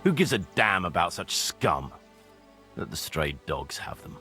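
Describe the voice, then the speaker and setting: A man playing a blunt, mocking, foul-mouthed medieval mercenary commander in a video game, with a husky, forceful voice over music. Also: sits low, very animated, unhurried, exaggerated voice. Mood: sardonic, warming. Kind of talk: video game cutscene acting.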